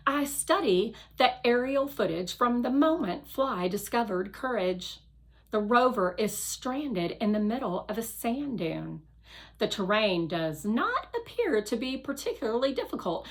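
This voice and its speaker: Slow, careful woman reading a children's story. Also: fairly high pitch. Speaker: read-aloud narrator. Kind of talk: scripted children's novel narration.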